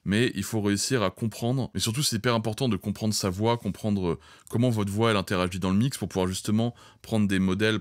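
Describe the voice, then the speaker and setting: A man's voice: sits low, no room sound. A man teaching audio mixing in an informal, colloquial way, speaking directly to viewers into a close microphone. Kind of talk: informal spoken tutorial.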